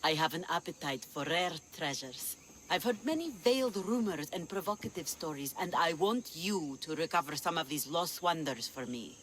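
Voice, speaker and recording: Imperious pirate leader. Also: female voice, low-pitched, lively intonation, moderate pace, fairly bright tone, slight background noise. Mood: proud, acquisitive. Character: commanding, proud female pirate leader who covets treasures. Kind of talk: video game cutscene dialogue acting.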